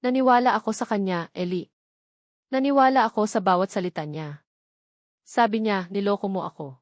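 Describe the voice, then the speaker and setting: A woman's voice: medium pitch, moderate pace, no echo, studio quality, natural voice. Remorseful, pleading estranged wife, read by a woman. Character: remorseful young mother, heartbroken estranged wife.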